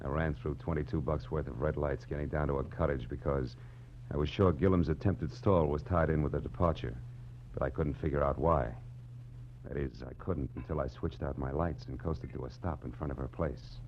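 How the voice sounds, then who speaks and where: An actor playing a hard-boiled, weary private detective, narrating and talking in terse, wry wisecracks in 1940s noir radio style. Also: male voice, a bass voice, steady tone, brisk, a little hiss or hum. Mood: tense, wry. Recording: muffled old radio recording, music behind.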